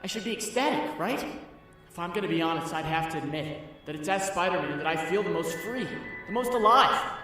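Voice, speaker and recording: Guy doing a young superhero in a comic dub, kinda high voice, earnest and dramatic, music pretty loud behind him. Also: animated, a little fast. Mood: conflicted, musing. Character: earnest young male superhero, lovestruck and torn about identity.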